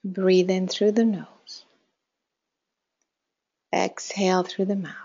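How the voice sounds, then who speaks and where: A woman guides a meditation at an extremely unhurried pace. Her delivery is calm and measured, in a mid-range voice, with phrases that settle softly at the end. The recording sounds a little muffled. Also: mid-range voice, slightly rough. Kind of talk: guided meditation, slow spoken instructions with long pauses.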